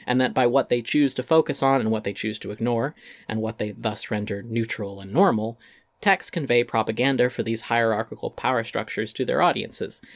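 A man narrates an essay in a dramatic reading, with a medium-pitched voice. He is articulate and emphatic, with a wry edge. The sound is dry and close to the mic. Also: vocal fry, no echo.

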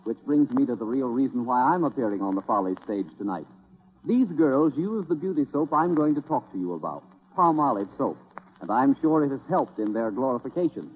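A man's voice: medium pitch, big pitch swings, fairly quick, husky. A man announcing on 1930s radio with theatrical advertising patter and a salesman's delivery. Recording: tinny 1930s radio broadcast recording.

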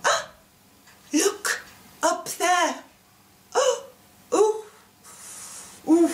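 A woman's voice: very high-pitched, fairly bright tone, echoey room, voice pushed up, exaggerated voice. A woman voicing an eager, cheeky young boy in a theatrical storybook reading, breathless and pleading. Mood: awed, excited.

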